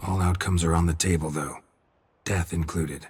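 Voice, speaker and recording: An actor playing a stoic, world-weary monster hunter in a video game, speaking in terse, deadpan lines with a gravelly, husky voice. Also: male voice, deep voice, normal speed. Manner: terse, deadpan, clipped sentences.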